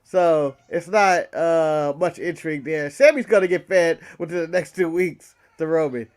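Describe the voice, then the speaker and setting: A man's voice: a little high, moderate pace. A man co-hosting a podcast in casual sports chat, giving opinions and cracking jokes. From United States. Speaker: podcast co-host.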